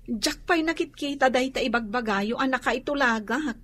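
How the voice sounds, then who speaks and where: An actress with an Ilocano accent playing a young student daughter who resists an arranged marriage in a radio drama. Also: female voice, high voice, moderate pace, a bit bright. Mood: protesting.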